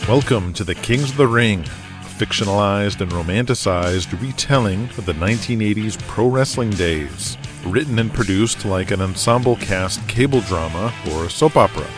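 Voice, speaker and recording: A man's voice: lowish voice, lively intonation, moderate pace. A man narrating a scripted audio drama as its announcer, in a dramatic storytelling style. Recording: music bed behind voice. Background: guitar music.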